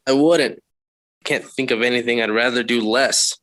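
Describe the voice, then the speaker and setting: A man's voice: mid-range voice, fairly even intonation, normal speed, fairly bright tone, lightly acted. An American male actor playing a reluctant, sarcastic teenage son in a 1940s radio sitcom. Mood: grumbling. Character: grumbling, reluctant teenage son and big brother.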